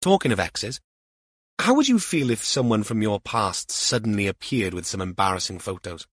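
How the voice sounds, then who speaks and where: A man's voice: fairly low pitch, animated, normal speed, fairly bright tone, breathy edge, no echo. A man voicing a composed, teasing woman police officer with a dry, questioning manner.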